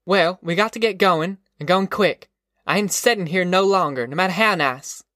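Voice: medium pitch, moderate pace, bright, forceful tone, no room sound, clean studio sound, voice pushed up, lightly acted. A narrator voicing a tough, determined middle-aged farm mother in an audiobook, with blunt, folksy rustic speech. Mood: firm, demanding. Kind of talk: audiobook character voice.

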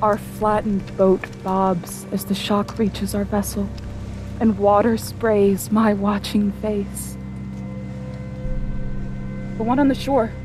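An actress playing a woman survivor of an invasion, narrating poetically and deliberately in audio theatre. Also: medium pitch, flat delivery, over music, lightly acted. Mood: dread. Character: Izzy, woman survivor fleeing by boat, awed narrator. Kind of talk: dramatic first-person audio theatre narration.

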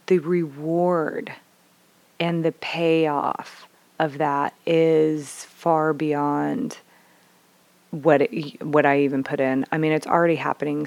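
Low-voiced, candid mother telling stories. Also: no echo. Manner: expressive, swears freely, acts out dialogue with big swings from whispers to bursts. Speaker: mother sharing parenting stories. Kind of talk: candid casual storytelling.

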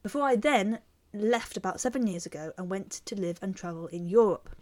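A woman's voice: medium pitch, a little fast, darkish tone. A woman with a mid-range voice co-hosts an educational podcast. She speaks casually with small hesitations and reads listener emails aloud. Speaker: podcast co-host.

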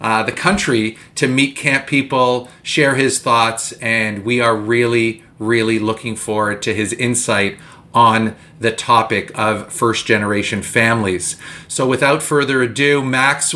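A man's voice: mid-range voice, flat delivery, unhurried, sharp tone. A Canadian man co-hosting a podcast, chatting casually and reading sponsor messages with frequent fillers. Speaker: podcast co-host.

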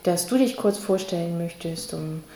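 A woman hosting a conversational podcast interview, speaking in a halting, searching way. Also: on the low side, dark tone. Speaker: podcast host. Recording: echoey room with faint background noise.

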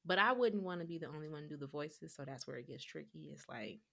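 Rambling woman with a bright voice. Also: deep voice, quick pace, creaky. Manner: rambling, full of "like" and restarts. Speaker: podcast co-host. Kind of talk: casual chat.

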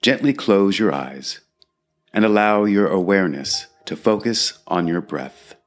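A man guiding a meditation in a calm, measured way, leaving long pauses between phrases. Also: sits low. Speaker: meditation guide. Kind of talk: guided meditation narration. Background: background music.

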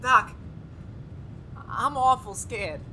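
A man plays a frightened assistant with an extremely high, shrill voice, recorded over clear background noise. He stammers and panics through old-time radio horror lines. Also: expressive, drawn-out words, bright, forceful tone, full character voice. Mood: nervous. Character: terrified, simple, loyal lab helper.

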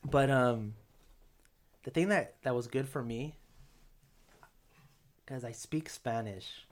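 A man's voice: mid-range voice, slow pace. Laid-back young man chatting. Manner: relaxed, rambling, many fillers and restarts. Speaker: podcast guest. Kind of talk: casual podcast chat.

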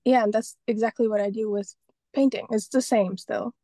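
A woman's voice: a little high, steady tone, moderate pace, warm tone, no echo. A young woman from Estonia, a painter and photographer, talking casually as an interview guest and often restarting her sentences. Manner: rambling, many restarts and fillers.

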